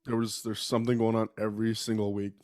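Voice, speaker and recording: A man's voice: lowish voice, level tone, fast, a little mellow, no room sound, clean studio sound. A man hosting a casual true-crime podcast while sick with a respiratory bug. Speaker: podcast host.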